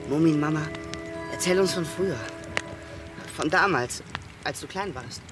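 A man's voice: fairly high pitch, unhurried, over music, natural voice. A man as a curious young troll boy. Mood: eager. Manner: eager, questioning. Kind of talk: children's radio play.

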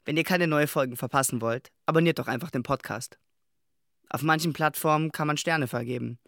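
A man's voice: on the high side, a little fast, gravelly, fairly bright tone, dry recording, studio quality. A man reading a friendly scripted outro for a children's podcast. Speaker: podcast host.

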